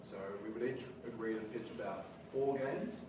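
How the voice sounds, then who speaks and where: A man with a croaky, tired voice tells his story with dry self-deprecating humor. He speaks from a distance, and the big hall fills his voice with echo over a constant hiss of noise. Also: lowish voice, normal speed. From Australia. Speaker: board game publisher. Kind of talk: conference talk. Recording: echoey hall, speaker far from mic, audible noise.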